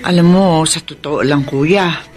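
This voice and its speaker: An actor with a Filipino accent playing a sympathetic, worried younger sibling in a radio drama. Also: male voice, fairly high pitch, a little slow, natural voice. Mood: concerned.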